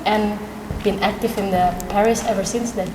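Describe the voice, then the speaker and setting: Indonesian-accented woman, plain storytelling; echoey room with clear noise. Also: medium pitch, steady tone, moderate pace. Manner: plain storytelling, simple phrasing. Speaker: parishioner, choir organizer. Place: church. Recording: roomy echo with clear background noise.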